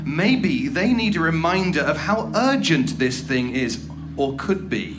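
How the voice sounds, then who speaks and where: Man acting a high-pitched, condescending interrogator. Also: sing-song, unhurried, over music. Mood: uneasy, dismissive. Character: impatient male interrogator for a secretive organization, condescending, volatile. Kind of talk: audio horror drama acting.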